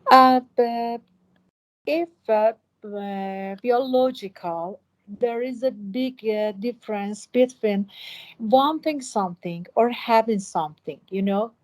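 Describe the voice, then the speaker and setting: A woman in her sixties from Iran, a native Persian speaker, answering interview questions in halting English with many fillers. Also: on the high side, poor audio. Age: 60s. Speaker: basketball official and teacher.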